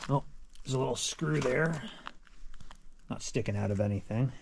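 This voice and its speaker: A man's voice: mid-range voice, moderate pace, gravelly, background noise. A man in his thirties, chatting casually and jokily as he explores an abandoned building outdoors, filmed on an action camera. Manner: relaxed, chatty, jokey. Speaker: vlogger. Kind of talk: casual exploring vlog chat.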